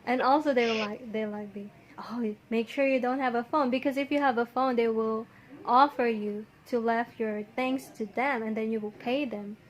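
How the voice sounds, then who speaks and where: A woman from the Philippines with a Filipino accent, telling a story in a casual, chatty, animated and giggly way. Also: fairly high pitch, moderate pace. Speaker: vlogger recounting her visa experience. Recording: faint background noise.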